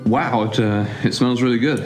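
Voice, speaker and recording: A man's voice: medium pitch, fairly even intonation, normal speed, music in the background, close to an everyday voice. A man playing a reluctant, sarcastic recluse in an audio drama, stammering and awkward in a conversational style. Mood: awkward, polite.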